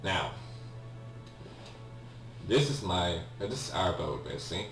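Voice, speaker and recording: A man's voice: deep voice, expressive, a little slow, fairly bright tone, soft background music. A young man with a Polish accent, a native Polish speaker, giving hesitant casual commentary on a game he is playing. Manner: hesitant, many ums and restarts, apologetic. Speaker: let's play gamer. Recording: echoey room, distant mic, faint hum.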